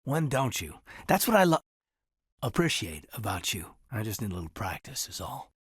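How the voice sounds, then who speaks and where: A man voicing an earnest, loyal military officer in a sci-fi video game, sincere and restrained, with a husky voice. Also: on the low side, big pitch swings, normal speed, no echo, clean studio sound, full character voice. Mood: teasing, fond. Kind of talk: naturalistic dramatic game dialogue.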